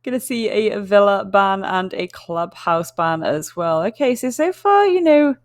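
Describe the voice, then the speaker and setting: A woman casting an esports match, giving measured, chatty analysis with frequent fillers. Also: medium pitch, expressive, no echo, studio quality. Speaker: esports caster.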